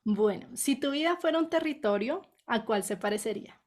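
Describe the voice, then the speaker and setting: A woman's voice: fairly high pitch, animated, fairly quick. A woman interviewer who asks short questions and replies with brief, friendly prompts.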